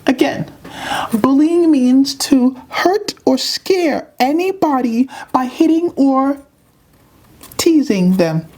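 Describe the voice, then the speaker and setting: A woman's voice: high voice, animated, slow pace, warm tone. A woman voicing an earnest, dramatic little pre-K girl puppet in a children's puppet show, with emphatic, repetitive delivery.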